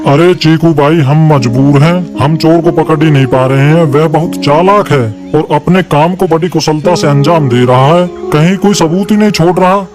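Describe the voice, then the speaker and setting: A man voicing a worried, serious male elephant who guards the forest in an animated moral story. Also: fairly high pitch, moderate pace, few pauses, natural voice. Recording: music behind the voice.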